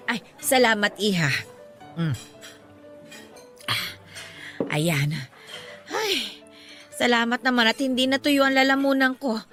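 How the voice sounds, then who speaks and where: A woman's voice: a little high, lively intonation, takes it slowly, pauses often, a bit bright, soft background music. An actress playing a talkative, good-humoured older Filipino mother in a radio drama, affectionate and teasing.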